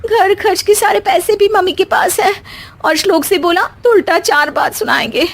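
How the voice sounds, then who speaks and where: A woman's voice: very high-pitched, normal speed, pitched above the performer's own voice. A woman voicing a feisty, dramatic young daughter-in-law in a cartoon story, complaining in a whiny, exclamatory way. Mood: worried. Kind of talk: Hindi cartoon moral story acting.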